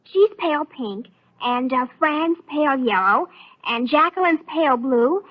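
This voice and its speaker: A woman's voice: high-pitched, lively intonation, unhurried. An actress playing an eager, adoring teenage girl in an old radio soap opera, gushing and pleading. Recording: muffled old radio recording.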